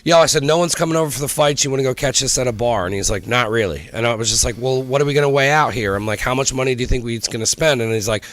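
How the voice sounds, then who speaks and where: A man's voice: mid-range voice, rapid-fire, hardly any pauses, fairly bright tone. A man in his thirties hosting a casual podcast chat, rambling through stories and acting out what people said. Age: 30s. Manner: rambling storyteller, acts out quotes, swears casually.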